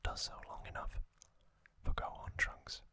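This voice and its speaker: A man speaking entirely in a breathy, unvoiced whisper, telling a story in halting short phrases as an ASMR host. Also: pushed and bright.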